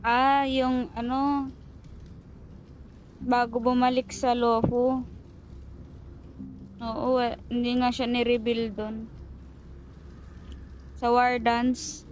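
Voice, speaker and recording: A woman's voice: fairly high pitch, flat delivery, slow pace, long pauses, rough recording. A woman, chatting casually. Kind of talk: casual chat. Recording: faint background noise.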